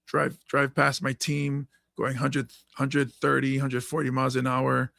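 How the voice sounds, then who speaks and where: Raspy, gravelly middle-aged man. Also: medium pitch, a little slow, no echo, clean studio sound. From Hawaii. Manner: animated, laughs often, acts out quotes. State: hoarse from coaching. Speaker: podcast host and comedian. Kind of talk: casual podcast chat.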